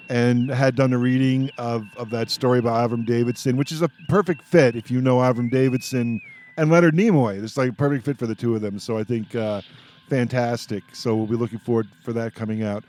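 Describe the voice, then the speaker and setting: A man's voice: mid-range voice, fairly even intonation, a little fast, a little mellow. A man hosting a casual radio roundtable, talking in a jovial, joking way. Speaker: radio show host.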